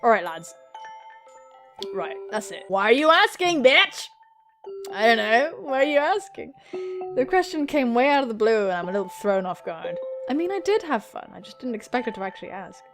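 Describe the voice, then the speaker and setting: A young woman hosting a game playthrough, excitable and giggly, switching between reading aloud and reacting. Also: a little high, moderate pace, music in the background. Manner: excitable, squealing, giggly, swearing. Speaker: gaming video host.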